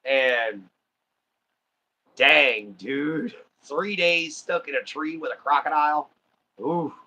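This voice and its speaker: A man's voice: on the high side, slow pace, bright, forceful tone, dull audio, a little hiss or hum. A man with a Texan accent from Southeast Texas, hosting a livestream in easygoing, rambling casual chat full of ums and uhs. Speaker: livestream host.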